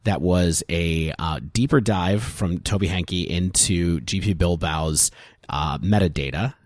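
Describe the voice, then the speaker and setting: A man with a very low voice talks through figures at length on a podcast. He is thoughtful and casual, recorded close with a dry, dead sound. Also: unhurried, dry recording. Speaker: podcast co-host.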